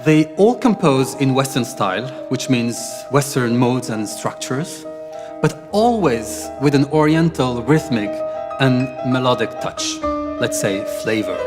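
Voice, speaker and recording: Man from Morocco, measured speech, long hall reverb, clear music behind. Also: mid-range voice, animated. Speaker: concert pianist. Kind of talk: prepared spoken introduction. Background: music playing.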